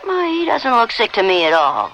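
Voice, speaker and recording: A man's voice: extremely high pitch, huge pitch swings, normal speed, sharp tone, some chatter behind, full character voice. A man playing a skeptical, argumentative young pal in a radio spot, in dull sound amid a noisy street. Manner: doubting, argumentative. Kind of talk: acted radio public service spot.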